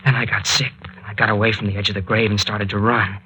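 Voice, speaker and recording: An actor playing an earnest, excitable young adventurer in a 1940s radio drama, with a colloquial, solicitous way of talking. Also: male voice, lowish voice, fast talker, muffled recording, a little hiss or hum. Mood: shaken. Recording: vintage 1940s radio recording.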